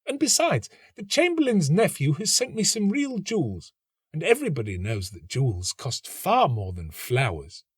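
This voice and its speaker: A man's voice: fairly high pitch, wildly swooping pitch, normal speed, husky, dry recording, studio quality, voice pushed up, full character voice. A man voicing a haughty, materialistic young woman in a storybook, snobbish and dismissive. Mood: disdainful.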